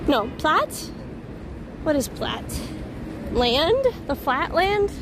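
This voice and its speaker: A woman's voice: high-pitched, sing-song, very slow. A young woman hosting a live stream on a phone while she walks outdoors, chatting and answering viewer comments, her voice slightly strained. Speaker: livestream host. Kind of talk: casual live stream chat while walking. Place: outdoors on a busy city street. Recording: loud outdoor street noise. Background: street traffic.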